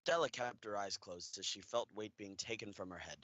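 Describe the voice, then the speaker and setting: Bright, low-voiced young male narrator. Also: brisk, a little hiss or hum. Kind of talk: scripted radio play narration.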